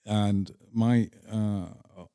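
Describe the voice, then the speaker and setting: A middle-aged man with an English accent telling personal stories as an interview guest, deliberate and emphatic, pausing between phrases. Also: on the low side, fairly even intonation, mellow, clear, resonant tone, no echo, clean studio sound. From England. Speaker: podcast guest. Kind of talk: reflective personal storytelling in an interview.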